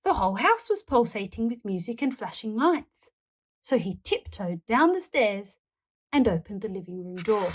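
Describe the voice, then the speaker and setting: A woman storyteller reading a children's picture book aloud in an animated narrating style. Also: medium pitch, normal speed, a little hiss or hum, poor audio.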